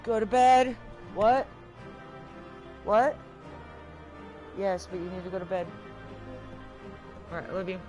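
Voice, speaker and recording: A man streaming a game, chatting casually and excitably while he plays. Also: high voice, takes it slowly, pauses often. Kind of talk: casual live gameplay chat. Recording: game music playing behind.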